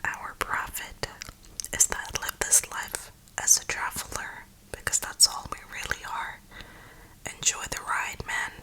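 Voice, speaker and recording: A woman speaking in a soft, breathy whisper, giving an earnest, reflective, motivational ASMR ramble close to the microphone. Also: rough recording. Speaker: ASMR creator and gamer.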